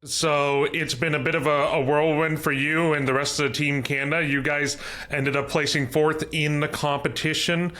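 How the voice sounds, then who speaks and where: A man's voice: on the high side, level tone, moderate pace, pushed and bright. A man in his thirties hosting a podcast, upbeat and playfully teasing, with frequent "uh" fillers. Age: 30s. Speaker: podcast host.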